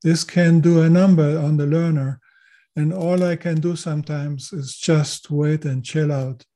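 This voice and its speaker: An older man raised in Chile, a native German speaker, telling his story in a measured, formal way as a podcast guest. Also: a little high, fairly even intonation, mellow. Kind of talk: podcast interview.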